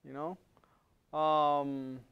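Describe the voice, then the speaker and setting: Man with a smooth, fairly high voice. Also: level tone, very slow, muffled recording. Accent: non-native English. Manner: conversational, checks in with "okay?" and repeats words while thinking. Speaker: health program lecturer. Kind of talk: live classroom lecture.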